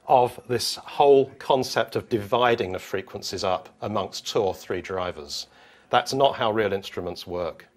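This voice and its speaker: A man giving an unscripted technical explanation as a product designer, haltingly repeating and rephrasing his phrases. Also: medium pitch, a bit bright.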